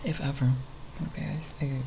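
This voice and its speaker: A young woman talking softly in a quiet, unscripted ASMR ramble, hesitant and self-deprecating, sometimes breathy. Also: very deep voice, brisk. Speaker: ASMR video creator. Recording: steady background noise with tapping sounds. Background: plastic bottle tapping sounds.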